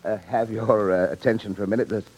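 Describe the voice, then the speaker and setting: A man's voice: fairly low pitch, steady tone, fast, darkish tone, slight background noise, lightly acted, poor audio. Actor playing a brusque, menacing English father. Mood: coolly threatening. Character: middle-aged English gentleman father, brusque, coolly menacing. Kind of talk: old-time suspense radio drama acting.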